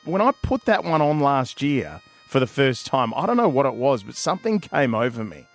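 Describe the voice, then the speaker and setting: A man's voice: medium pitch, big pitch swings, moderate pace, faint music behind. An Australian man co-hosting a breakfast radio show from a studio, playful, laughing easily and starting with hesitant fillers. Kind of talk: casual breakfast radio banter.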